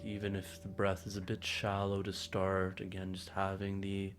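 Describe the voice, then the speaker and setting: A man leading a guided meditation in a soothing way, with unhurried phrasing and audible sighs and hums. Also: deep voice, completely flat delivery. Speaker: meditation guide, music therapist. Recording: music playing over voice, online video call. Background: meditative instrumental music.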